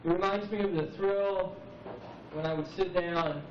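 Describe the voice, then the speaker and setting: A man in his forties speaking as a poet at an open mic, musing reflectively and unhurriedly with dramatic pauses. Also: high voice, fairly even intonation. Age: 40s. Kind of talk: live spoken-word musing. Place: live open mic venue, roomy. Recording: muffled noisy VHS recording, echoey, distant.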